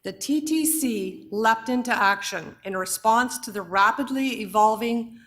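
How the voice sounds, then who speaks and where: Hoarse woman chairing a meeting. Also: a little high, fairly bright tone. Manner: measured, procedural, with fillers. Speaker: board chair. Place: council chamber.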